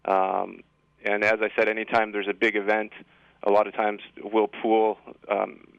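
A man's voice: fairly low pitch, monotone, measured pace, creaky, smooth, dry recording, lo-fi sound. A man speaking as a school administrator guest in an interview, explaining things in an assured way. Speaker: high school assistant principal guest. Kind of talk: interview answers.

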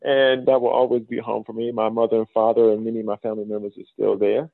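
A middle-aged American man from Chicago giving explanatory, measured answers as an interview guest, often dropping in "you know". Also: lowish voice, flat delivery, gravelly, dry recording, phone-quality sound. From south side of Chicago, United States. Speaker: interview guest, business school dean.

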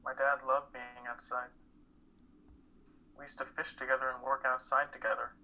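A young man plays a reserved, wry college student in an audio play. His voice is very bright and fairly even, with noticeable background noise. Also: medium pitch, moderate pace, low-quality recording. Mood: wistful. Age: young adult. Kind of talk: dramatic reading.

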